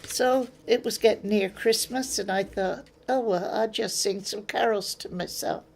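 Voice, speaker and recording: An older woman from England speaks with an English accent, unhurried and a little gravelly. She tells her memories plainly in an interview, and slips into the voices of people she remembers. Her pitch sits in an ordinary middle range. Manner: plain-spoken storyteller, acts out remembered exchanges.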